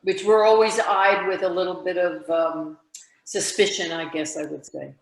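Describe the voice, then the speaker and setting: A woman talking casually in a group discussion on a video call, feeling her way haltingly toward her words. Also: fairly low pitch, steady tone, creaky. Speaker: book club discussion participant. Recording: echoey room on video call.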